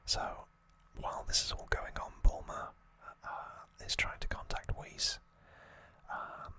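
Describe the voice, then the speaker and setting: An ASMR host whispering a rambling recap in a breathy, halting voice, correcting slips along the way, close to the microphone. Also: bright, forceful tone. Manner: hesitant, halting phrasing with self-corrections.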